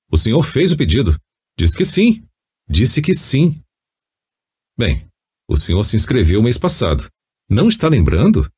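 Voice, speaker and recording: A man's voice: mid-range voice, a little mellow, husky. A Brazilian man voicing an insistent, accusing telephone swindler in an audiobook. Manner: accusing, pressuring. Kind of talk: acted quote within audiobook narration.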